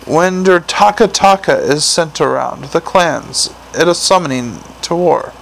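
A man doing a slow gravelly troll sergeant. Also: a little high, a bit bright, slight background noise, rough recording. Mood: earnest. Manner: slow, patient talk with thick "der" dialect. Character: big slow-witted male troll sergeant.

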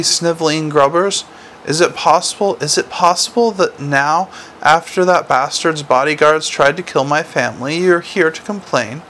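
A man's voice: fairly high pitch, vocal fry, fairly bright tone, a little hiss or hum. A man voicing a wrathful, sarcastic city watch commander in an audiobook, ranting and snapping accusations.

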